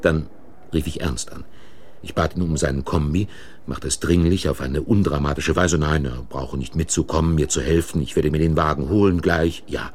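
An actor playing a shaken but determined office man turned accomplice in a German radio crime play, speaking in brooding, deliberate phrases. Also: very deep voice, darkish tone. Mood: brooding, tense. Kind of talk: German radio crime play acting and first-person narration. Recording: noisy vintage radio play recording.

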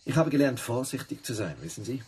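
An actor playing a stern, suspicious hotel manager, formal and pointed, in a radio play. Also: male voice, medium pitch, animated, fast, darkish tone, breathy edge. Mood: sardonic suspicion.

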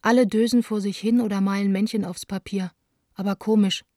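An actress plays a young female theatre director in a radio play, frustrated yet defiant. Her voice is mellow and very evenly pitched, in a dry, close recording. She confides her letters in a subdued, literate way. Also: steady tone, brisk, dry recording, close to an everyday voice. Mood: bitter, indignant. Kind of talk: radio play, letters read as intimate monologue.

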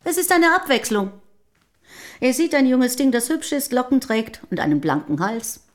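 An actress in a German radio play playing a proud, self-assured middle-aged wife of a poet, speaking in formal, measured period diction. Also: female voice, high-pitched, expressive. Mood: dismissive, assured.

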